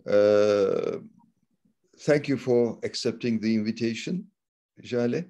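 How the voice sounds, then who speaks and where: A man hosting an interview, talking haltingly and pausing often with fillers. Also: mid-range voice, warm tone.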